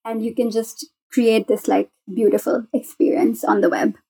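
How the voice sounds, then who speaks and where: Enthusiastic woman with a higher-pitched voice. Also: little pitch movement, normal speed, creaky, dark tone, breathy edge, low-quality recording. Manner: enthusiastic, gushing. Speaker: guest software developer. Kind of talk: casual conversational explanation.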